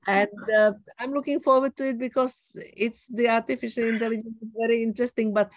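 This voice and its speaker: A woman's voice: on the high side, steady tone, a little fast, no echo. An older woman with a Czech accent, speaking in a wry, chatty way full of small restarts. Her voice is dry and close, with no room sound, as she talks on a video call from her garden. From Czech Republic. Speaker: meeting participant. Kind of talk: casual video call introduction. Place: outdoor garden. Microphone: laptop on a video call.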